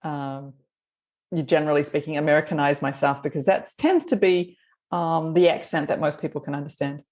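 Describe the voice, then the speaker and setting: A woman in her forties, raised in New Zealand, speaks with a Kiwi accent softened by years abroad. Her voice sits a little low for a woman. She talks in long, chatty runs, padded with ums and quick laughs. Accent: mixed New Zealand accent. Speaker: guest, marketing consultant. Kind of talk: conversational interview.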